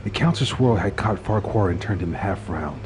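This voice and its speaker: A man's voice: low-pitched, expressive, a little fast, music in the background. A man reading a suspenseful story as narrator, in a dramatic scripted style.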